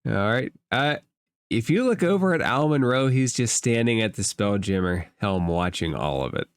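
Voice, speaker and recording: A man's voice: fairly low pitch, lively intonation, moderate pace, clean tone, dry recording, clean studio sound. A man acting as game master in a tabletop role-playing game, giving rulings in a matter-of-fact way.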